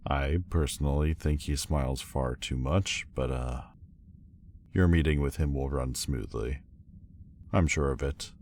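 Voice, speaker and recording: A man playing a proud demon lord in a roleplay audio drama, speaking formally and haltingly, guarded but vulnerable underneath. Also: a bass voice, darkish tone. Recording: faint background noise and ambience. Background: faint ambient sound effects.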